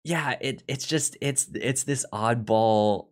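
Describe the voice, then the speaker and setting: A man co-hosting a podcast and casually discussing a film in a hesitant, halting way, often repeating himself. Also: mid-range voice. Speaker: podcast co-host.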